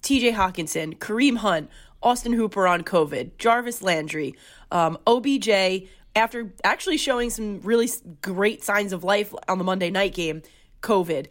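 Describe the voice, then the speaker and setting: A woman's voice: medium pitch, moderate pace. A woman co-hosting a podcast with a nasal, stuffed-up voice from a head cold, upbeat and laughing easily. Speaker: podcast co-host. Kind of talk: casual chat.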